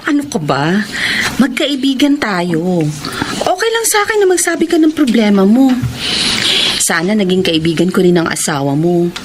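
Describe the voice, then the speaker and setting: A woman's voice: mid-range voice, big pitch swings, moderate pace, pauses often, background noise, natural voice. An actress playing a supportive, reasoning female friend in a Filipino radio drama, conversational and reassuring.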